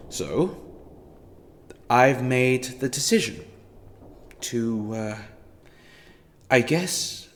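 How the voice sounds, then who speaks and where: A man playing a grandiose, monologuing villain. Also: mid-range voice. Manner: grandiose, monologuing, mock-apologetic. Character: scheming, theatrical male villain who toys with a captive human. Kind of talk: fan audio drama acting.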